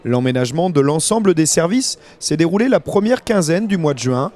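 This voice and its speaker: Male reporter for scripted news voice-over, slightly high register, strongly varied melody. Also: lively intonation, fairly quick, a little mellow.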